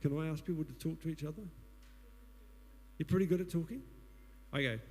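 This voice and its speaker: A man with a New Zealand accent and a booming voice preaching a sermon, putting earnest questions to the congregation. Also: fairly quick, a little mellow. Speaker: guest preacher. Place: church hall. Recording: long hall reverb.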